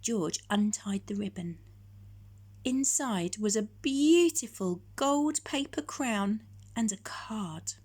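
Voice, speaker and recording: A woman reading a children's story in a measured, expressive storytelling manner. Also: medium pitch. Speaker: storytime reader. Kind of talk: scripted children's story narration.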